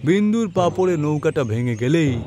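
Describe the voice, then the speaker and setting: A man's voice: a little high, animated, a little fast, background noise, lightly acted. A man playing a jealous, scornful, scheming village headman in a cartoon, speaking emphatically. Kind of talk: dubbed cartoon acting. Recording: voice over background music.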